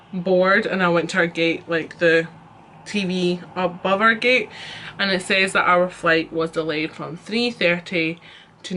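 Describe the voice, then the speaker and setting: Young Scottish woman vlogger, exasperated storytime rant, bright forceful tone. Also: on the low side. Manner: chatty, exasperated storytelling with many fillers.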